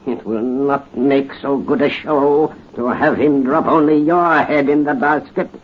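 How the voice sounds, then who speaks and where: A man's voice: medium pitch, unhurried, close to an everyday voice. An actor playing a sadistic, pompous revolutionary butcher who mocks his captives in 1930s radio horror style. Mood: cruel amusement. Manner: mocking, pompous, theatrical. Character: sadistic gloating male revolutionary butcher commanding soldiers. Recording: muffled noisy 1938 radio broadcast.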